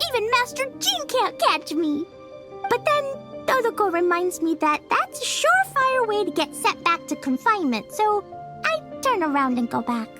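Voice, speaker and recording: A woman voicing an energetic, innocent little girl with a mischievous streak in a video game, in a cute childlike voice. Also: very high-pitched. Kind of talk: animated video game dialogue.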